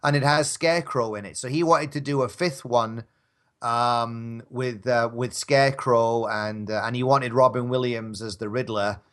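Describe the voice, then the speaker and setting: A man's voice: medium pitch, fairly even intonation, moderate pace, fairly bright tone, clear, resonant tone. A man co-hosting a casual talk show, bantering about films in a rambling, wry, conversational way. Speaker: co-host of an audio drama talk show.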